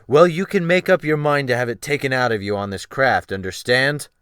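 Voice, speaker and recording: A man's voice: medium pitch, a little fast, fairly bright tone, clear, resonant tone, dry recording, studio quality, natural voice. A man voicing a brutal, commanding ship's captain who snaps orders with mocking menace in a dramatic audiobook. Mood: sneering, bullying. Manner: snapping, barking orders, thundering. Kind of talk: dramatic audiobook character reading.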